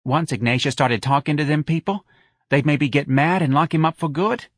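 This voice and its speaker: Man doing a whiny, high-pitched older mother. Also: normal speed, slightly rough, no echo, studio quality. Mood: folksy. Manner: plaintive, folksy, complaining. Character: whiny, self-pitying older working-class mother. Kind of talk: audiobook character dialogue.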